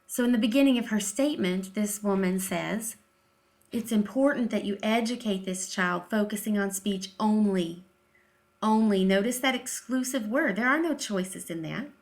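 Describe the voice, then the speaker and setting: Female commentator, mid-range voice. Emphatic and informal, with pointed sarcasm and rhetorical questions. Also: medium pitch. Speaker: video commentator, deaf-education advocate.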